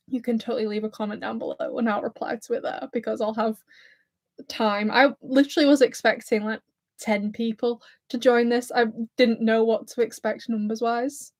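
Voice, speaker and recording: A woman's voice: fairly high pitch, darkish tone. A woman in her twenties with a mix of Lancashire and Scouse accent, chatting nervously and giggling on a live stream while reading viewer comments. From England, near Blackpool. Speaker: YouTuber hosting a livestream.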